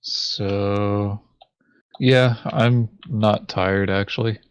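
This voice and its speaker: Male tabletop co-player, lowish voice, slow blunt casual delivery, very dry sound. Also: fairly even intonation, clear, resonant tone, no room sound, muffled recording. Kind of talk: casual chat during board game.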